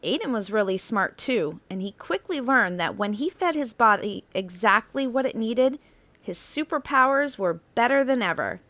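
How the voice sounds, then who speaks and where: A woman reading a children's picture book aloud as narrator, with expressive, emphatic phrasing that stretches out key words. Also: a little high, normal speed, no echo.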